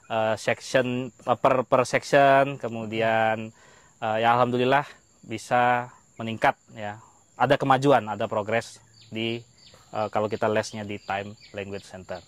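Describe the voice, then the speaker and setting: Mid-pitched, hesitant young man. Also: little pitch movement, unhurried, no room sound. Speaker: interview guest, university lecturer. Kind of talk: casual personal testimony.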